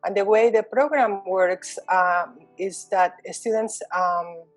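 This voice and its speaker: A middle-aged woman speaks in English in a reflective, unhurried way, pausing on ums and restarting phrases as she thinks. Her voice sits at a medium pitch. The recording is dry and close, with no room sound. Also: dry recording, soft background music, poor audio. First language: Spanish. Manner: thoughtful, frequent ums and restarts. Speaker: university Spanish lecturer. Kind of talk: reflective interview talk.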